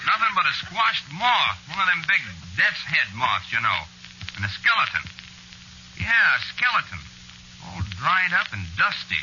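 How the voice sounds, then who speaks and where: Excitable, sharp-voiced folksy witness. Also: male voice, a little high, heavily acted. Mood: baffled. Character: plain-spoken, flustered rural man reporting a strange death. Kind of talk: old-time radio acting. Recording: dull, faintly noisy vintage radio.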